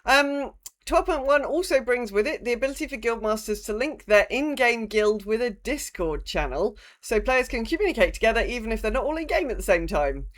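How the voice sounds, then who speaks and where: A woman's voice: on the high side, expressive, fairly quick. A woman co-hosting a gaming podcast in casual chat, talking in an informal, rambling way with some mild swearing. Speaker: podcast co-host.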